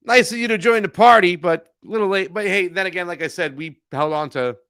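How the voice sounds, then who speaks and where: A man co-hosting a political podcast, animated and profane, mocking others in put-on voices. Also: high-pitched, fairly quick, smooth, dry recording, clean studio sound. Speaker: podcast co-host. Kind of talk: casual political talk show.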